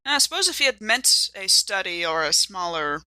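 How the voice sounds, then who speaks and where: A woman's voice: mid-range voice, sing-song, normal speed, sharp tone, no room sound. A woman chatting casually and playfully as a player on a tabletop game podcast.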